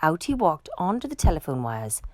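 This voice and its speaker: A woman reading a children's picture book aloud with expressive, dramatic storytelling. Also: sits low, brisk, dry recording.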